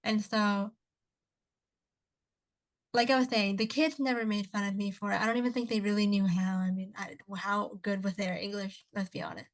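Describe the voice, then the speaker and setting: A young woman co-hosting a casual podcast, her first language Cantonese. She talks in long rambling stretches, restarting sentences and wandering into asides, at a middle pitch for a woman. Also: steady tone, normal speed, poor audio. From Canada. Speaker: podcast co-host. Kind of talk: casual chat.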